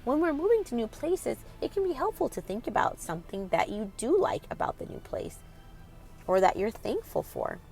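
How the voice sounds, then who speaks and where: A woman's voice: fairly high pitch, very animated, normal speed, gravelly, a little mellow, faint music behind. A woman hosting a children's read-aloud, reading expressively and putting questions directly to young listeners in an instructive way.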